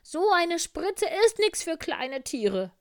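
A woman's voice: very high-pitched, very animated, takes it slowly, voice pushed up, full character voice. A woman voicing a boastful, show-off male fox in a swaggering, emphatic manner for a children's storybook.

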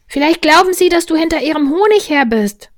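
A woman voicing a bright, innocent little boy from a storybook in an eager, direct, childlike manner. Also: high-pitched, lively intonation, a little fast, no room sound, studio quality, voice pushed up. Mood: curious, helpful. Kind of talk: storybook character voice.

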